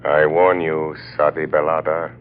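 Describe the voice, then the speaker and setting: An actor playing an invisible, menacing crime-fighting avenger in old radio drama, speaking slowly and ominously. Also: male voice, rumbling low, level tone. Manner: slow, ominous delivery. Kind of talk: 1940s radio acting. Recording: muffled old radio recording, faint hum.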